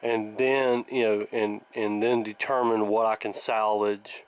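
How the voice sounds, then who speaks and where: A man giving casual hands-on workshop commentary, thinking aloud in a halting, plainspoken, folksy way, like a hobbyist hosting a repair video. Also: on the low side, flat delivery, clear, resonant tone, low-quality recording.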